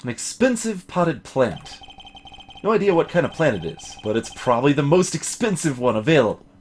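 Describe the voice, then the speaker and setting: A man's voice: on the high side, sing-song, normal speed, a little hiss or hum. A man voicing a young male defense lawyer's dry, observational inner narration in a video game. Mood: wry musing. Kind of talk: voiced visual novel game reading.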